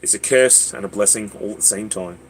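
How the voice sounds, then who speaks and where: A man playing a cheeky, smooth-talking British charmer with a put-on British accent, in a casual livestream story. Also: on the low side, brisk, slight background noise, pitched below the performer's own voice, lightly acted, poor audio. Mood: smug, flirty.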